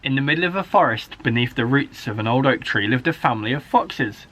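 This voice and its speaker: A man, a primary school teacher, reading a children's story aloud in an expressive, dramatic way. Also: mid-range voice, brisk, soft background music. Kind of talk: animated children's story narration.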